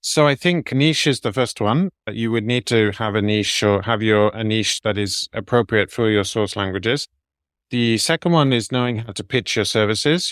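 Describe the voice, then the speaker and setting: A middle-aged man answering interview questions in a measured, explanatory way, laying out his advice point by point. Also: lowish voice, a bit bright, smooth, no echo, studio quality. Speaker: guest, medical translator.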